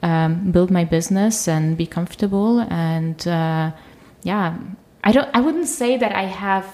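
Interview guest: young woman, Ukrainian-born, lowish voice, relaxed, full of fillers. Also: measured pace. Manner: relaxed, lots of fillers and restarts. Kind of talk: casual interview conversation.

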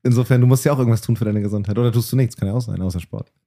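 A man's voice: lowish voice, racing, vocal fry, mellow, no echo, clean studio sound. A man interviewing two guests in a casual way, probing with chatty follow-up questions.

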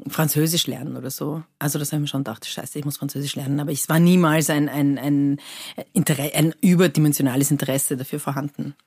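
Low-voiced Persian-born woman, dry sound. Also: husky, dry recording. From Iran. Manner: animated, lively storytelling with many fillers. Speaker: cabaret artist guest. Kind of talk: casual interview chat.

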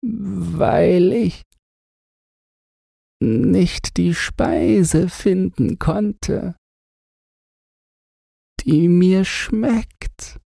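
Frail starving artist, audiobook. High, whispery, halting, pleading. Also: male voice, expressive, vocal fry, mellow, no room sound, studio quality, full character voice. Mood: faint, earnest. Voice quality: whispery, thin, weak. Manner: slow, halting speech. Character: frail dying hunger artist, meek, pleading, earnest.

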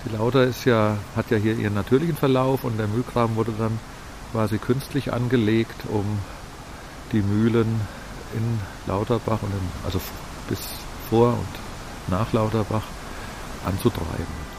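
A man being interviewed on a walk outdoors, explaining local things conversationally and haltingly as he thinks. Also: on the low side, steady tone, gravelly. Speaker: interviewee, music school director and local guide. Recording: outdoor field recording with faint hiss.

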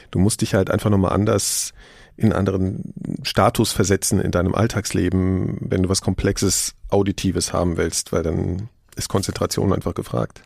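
A man's voice: deep voice, creaky, a little mellow, slightly rough, dry recording, clean studio sound. A man in a casual discussion, thinking aloud in an informal way.

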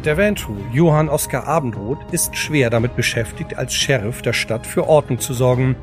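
A man's voice: mid-range voice, moderate pace. A man reading scripted narration as the narrator of an audio drama. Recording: voice over background music. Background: music.

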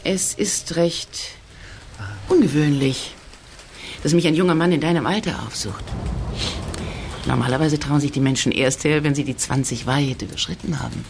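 An actress playing an eerie, measured female psychotherapist in an audio drama, speaking formally and deliberately, in a noisy clip. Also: deep voice, vocal fry, a little mellow. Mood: ominous calm.

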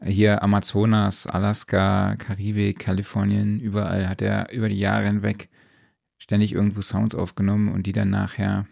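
A man's voice: lowish voice, monotone, moderate pace, creaky, a little mellow, no echo, studio quality. A man speaking casually and informally as a podcast host. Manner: informal, colloquial.

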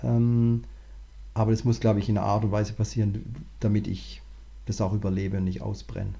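A man, a management consultant, talking through his career in a relaxed interview, thinking aloud with many restarts. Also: fairly low pitch, normal speed, gravelly, dull audio. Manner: thoughtful, many fillers and restarts. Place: lives in Cape Town, remote interview.

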